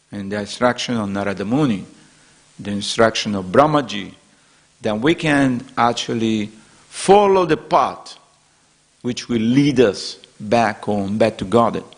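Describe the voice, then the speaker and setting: A man preaching in a temple hall at a middling pitch. His delivery is earnest and steady, built from short repeated phrases in slightly non-native English. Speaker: preacher giving a scripture class. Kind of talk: devotional sermon.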